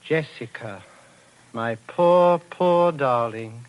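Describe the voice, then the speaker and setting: Sinister husband, silky courtesy, formal diction, coaxing, domineering, 1940s radio drama. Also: male voice, medium pitch, very slow, smooth, muffled recording. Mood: coaxing, hypnotic. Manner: suavely polite, formal, coaxing.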